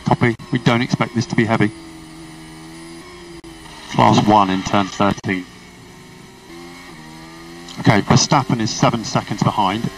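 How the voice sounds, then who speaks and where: A man working as a race engineer, giving clipped, procedural instructions over a motorsport team radio. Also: lowish voice. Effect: team radio transmission. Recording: noisy distant radio, car and engine noise.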